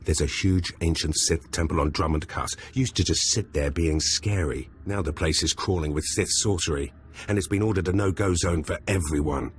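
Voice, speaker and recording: A man's voice: sits low, moderate pace, a little hiss or hum, close to an everyday voice. An actor playing a brash, scheming male Imperial intelligence officer in a video game. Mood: cocky. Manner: cocky, conspiratorial.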